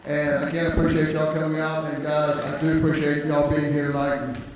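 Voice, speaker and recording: A man moderating a symposium, wrapping up with folksy, chatty thanks full of "uh" pauses. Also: on the high side, completely flat delivery. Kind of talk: closing remarks at a symposium. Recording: echoey hall, distant mic, clear noise.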